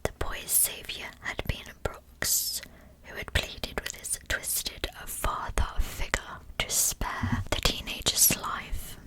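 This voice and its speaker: Slow whispering woman, ASMR narrator. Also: poor audio. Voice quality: unvoiced, breathy whisper. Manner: slow, hushed, deliberate storytelling. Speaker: ASMR true-crime narrator.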